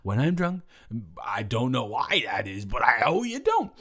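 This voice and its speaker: A man's voice: medium pitch, wildly swooping pitch, a little slow, a bit bright, exaggerated voice. A young man playing a playful drunk college roommate in an intimate audio roleplay, rambling, slurring, joking and repeating himself. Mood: coaxing, tipsy. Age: young adult.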